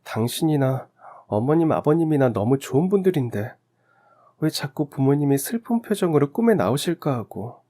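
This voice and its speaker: A man voicing a young newlywed wife, anxious and earnest, in a gentle pleading manner. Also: on the high side, sing-song, moderate pace, voice pushed up.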